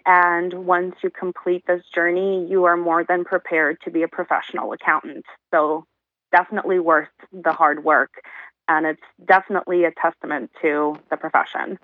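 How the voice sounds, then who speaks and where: A woman in her twenties from Uzbekistan, a guest speaking thoughtfully from home, pausing with fillers. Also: lowish voice, level tone, no room sound, narrow, tinny audio. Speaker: guest, accounting professional. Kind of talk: casual remote interview. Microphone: remote call from home.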